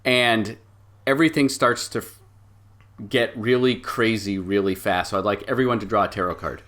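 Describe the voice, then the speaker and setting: A man with a fairly low voice leads a tabletop role-playing game. He narrates scenes and explains cards conversationally, pausing now and then as he finds his words. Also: steady tone, a bit bright. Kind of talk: narrating a story game and reading card meanings aloud.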